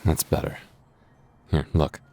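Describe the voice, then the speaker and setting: A man's voice: a bass voice, flat delivery, slow, gravelly, dark tone, faint music behind, heavily acted. A man playing a flustered young man with a secret crush in an intimate boyfriend ASMR roleplay, stammering and getting defensive. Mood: embarrassed. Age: young adult.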